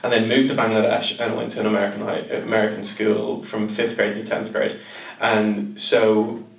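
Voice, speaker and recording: A young man with an Irish accent, a guest chatting thoughtfully with many pauses and fillers. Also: fairly low pitch, flat delivery, vocal fry, reverberant, far from the mic, poor audio. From Ireland. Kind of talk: casual interview chat.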